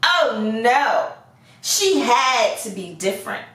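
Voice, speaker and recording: A woman's voice: a little high, sing-song, takes it slowly, fairly bright tone, heavily acted. A woman voicing a spiteful, complaining elder sister in a storybook, whiny and accusing, in an echoey recording. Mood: resentful. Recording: echoey room, far from the mic.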